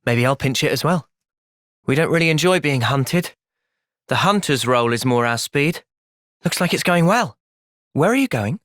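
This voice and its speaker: An actor playing an earnest, thoughtful young soldier in a video game, soft-spoken and sincere but resolute. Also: male voice, mid-range voice, animated, normal speed, long pauses, no room sound, clean studio sound, close to an everyday voice. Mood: calm, thoughtful. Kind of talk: video game cutscene acting.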